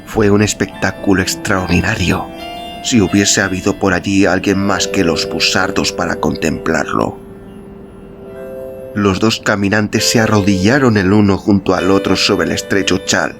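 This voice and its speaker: A man narrating a literary story as an audiobook, in a measured, dramatic, expressive storytelling manner. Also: sits low, gravelly. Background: music.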